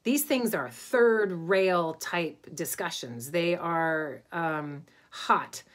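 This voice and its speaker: Middle-aged woman talking into her laptop cam at home, lowish voice, lots of ums, thinking out loud. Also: expressive, extremely slow. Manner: thoughtful, searching for words with many ums and restarts. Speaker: Egyptologist. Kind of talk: informal lecture to camera. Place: home study with bookshelves, indoors.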